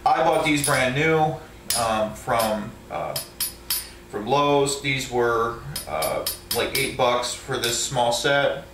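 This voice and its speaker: A man's voice: mid-range voice, takes it slowly, far from the mic. Man, truck driver, rambling with ums, roomy echo, faint hiss. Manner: rambling, lots of ums and restarts. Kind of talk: casual show-and-tell of tools. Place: indoor room at home. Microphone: camera set up overhead, a few feet from the speaker. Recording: echoey room, voice a bit off mic.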